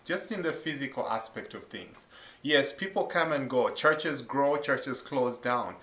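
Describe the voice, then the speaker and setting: A man's voice: mid-range voice, reverberant, highs cut off. A man from Uganda in his thirties, an associate pastor telling his story earnestly, with many ums and uhs. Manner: earnest, warm testimony with frequent fillers. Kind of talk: faith interview.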